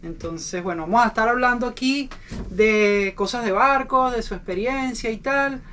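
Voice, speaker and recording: A man's voice: very high-pitched, moderate pace, bright, forceful tone, slight background noise. Man hosting a podcast on a boat. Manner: friendly, prompting questions. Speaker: podcast host. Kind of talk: casual podcast interview. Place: aboard a sailboat.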